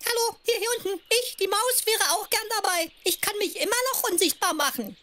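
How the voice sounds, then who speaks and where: A man's voice: extremely high pitch, animated, brisk, bright, forceful tone, full character voice. A man voicing a tiny, eager, piping mouse in a children's fairy-tale radio play.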